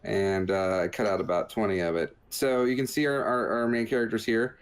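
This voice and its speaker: A man's voice: lowish voice, fairly even intonation, fairly quick, clean tone. A man casually commentating over gameplay footage, explaining things in a joking, meandering way. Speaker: podcast host reviewing a video game.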